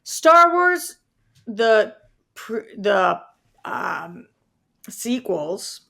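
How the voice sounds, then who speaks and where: Creaky-voiced woman chatting casually. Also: medium pitch, very animated, drawn-out words, pushed and bright. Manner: informal, rambling, conversational with slang. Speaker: co-host of a film talk podcast. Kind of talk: casual chat and opinion about movies.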